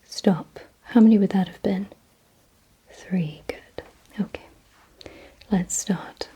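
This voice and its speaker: A woman whispering in a breathy, hushed ASMR roleplay style, giving deliberate instructions directly to the listener. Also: medium pitch, poor audio. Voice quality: breathy, mostly unvoiced whisper. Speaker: ASMR performer.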